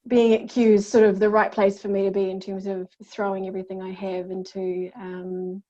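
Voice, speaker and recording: A woman's voice: mid-range voice, little pitch movement, normal speed, warm tone. New woman with a dull, lo-fi sound. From New Zealand. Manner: hesitant, with many ums and uhs. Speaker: theatre manager. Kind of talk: casual self-introduction on a video call. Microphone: video call.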